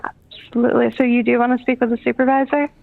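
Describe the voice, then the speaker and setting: A woman's voice: on the high side, little pitch movement, quick pace, gravelly. Apologetic woman on a muffled phone line. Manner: apologetic, polite, hesitant. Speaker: customer service representative. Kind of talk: customer service phone call. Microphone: telephone.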